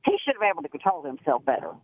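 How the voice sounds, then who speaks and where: A woman's voice: low-pitched, big pitch swings, dry recording. Older woman caller on a tinny phone line. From Tennessee. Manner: earnest, chatty, halting with many restarts. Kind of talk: phone-in call. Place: in her car.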